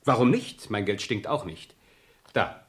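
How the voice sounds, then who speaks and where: An actor playing an arrogant, irritable murder suspect who is brusque, mocking and bossy, in a 1970s radio crime drama. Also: male voice, fairly low pitch, lively intonation, a little slow. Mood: curt, condescending.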